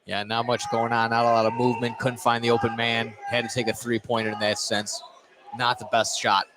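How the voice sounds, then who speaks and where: A man's voice: mid-range voice, monotone, fairly quick. A man giving color analysis on a live basketball broadcast in a conversational, slightly rambling way. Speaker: color commentator. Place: indoor basketball gym. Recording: noisy live gym broadcast.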